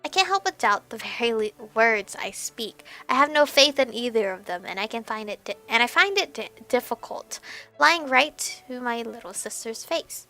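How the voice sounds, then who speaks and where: A woman reading a visual novel aloud as expressive narration, with animated reactions and some stumbling over words. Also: on the high side, moderate pace. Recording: background music behind voice. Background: game music.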